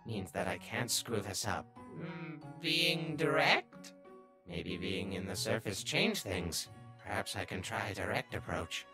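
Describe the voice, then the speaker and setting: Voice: sits low, big pitch swings, measured pace, over music, full character voice. A performer voicing a sly, obsessively curious little talking flower in a comic dub, brooding and easily exasperated. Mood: hesitant. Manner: brooding, scheming, bursting into exasperated outbursts. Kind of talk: comic dub character narration and dialogue.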